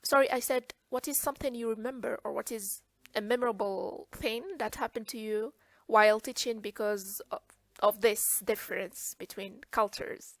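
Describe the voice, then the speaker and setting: A woman's voice: fairly high pitch, steady tone, moderate pace, dry recording. A woman from eastern Algeria with an Algerian accent, hosting a webinar conversation as moderator and English teacher. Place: online video call.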